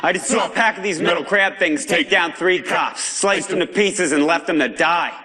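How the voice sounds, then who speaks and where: Fiery, high-pitched rebel broadcaster. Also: male voice, moderate pace, sharp tone. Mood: suspicious, indignant. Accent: American. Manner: emphatic, streetwise, preachy, swings between outrage and gravity. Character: fiery male underground broadcaster, angry, defiant, accusatory. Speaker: pirate broadcaster. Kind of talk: video game voice acting, pirate broadcast monologue.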